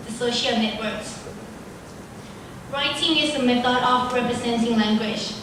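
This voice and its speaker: A teenage girl with a Thai accent, her first language Thai, giving a careful, formal, recited speech at a student speaking contest. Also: fairly high pitch. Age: teens. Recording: echoey hall from far off, noisy.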